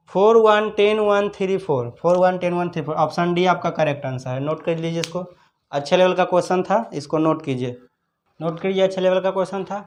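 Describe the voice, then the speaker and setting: Guy teacher, pretty high voice, going thru problems step by step, repeats stuff a lot. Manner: repetitive, instructive. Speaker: chemistry teacher. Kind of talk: step-by-step exam problem lesson.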